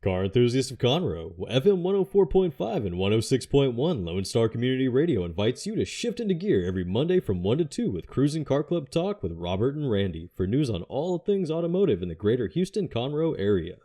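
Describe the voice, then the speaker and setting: Male promo voice, mellow tone, very lively intonation, scripted radio spot. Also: mid-range voice, brisk, few pauses. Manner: upbeat announcer delivery. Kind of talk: scripted station promo read.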